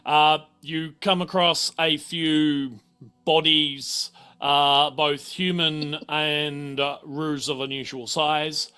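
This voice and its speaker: A man's voice: on the high side, little pitch movement, takes it slowly, sharp tone, clean tone. A man, informally explaining and narrating a tabletop game as game master.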